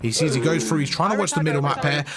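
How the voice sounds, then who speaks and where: A man giving casual, slangy gameplay breakdown commentary as a video host, with a slightly croaky, sore throat. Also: fairly high pitch, lively intonation, rapid-fire, over a noisy crowd. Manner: casual, slangy, repeats stock phrases. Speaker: gaming YouTuber, host.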